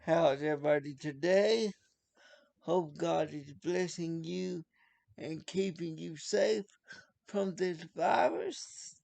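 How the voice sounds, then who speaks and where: A man's voice: fairly high pitch, takes it slowly, gravelly, darkish tone. A young man from North Carolina, speaking earnestly and unhurriedly to camera, often repeating himself. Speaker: video blogger. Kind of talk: casual direct-to-camera talk.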